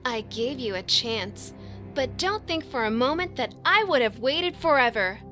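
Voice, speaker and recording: A woman's voice: high voice, expressive, a little slow, sharp tone, heavily acted. A woman playing a defiant, desperate young angel in an anime visual novel, with dramatic delivery. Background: background music.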